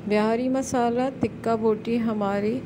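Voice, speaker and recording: A woman with a somewhat high voice narrates a home recipe in calm, step-by-step instructions. Her voice-over is recorded close to the mic. A steady noise and the rumble of vehicles stay clearly audible beneath her. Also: measured pace. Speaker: cooking channel host.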